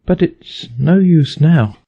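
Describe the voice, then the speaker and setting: A man's voice: a little high, expressive, takes it slowly, gravelly, dark tone, husky, dull audio, voice pushed up, heavily acted. A man voicing a curious, prim little storybook girl who talks earnestly to herself. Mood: forlorn. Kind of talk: storybook audiobook character voice.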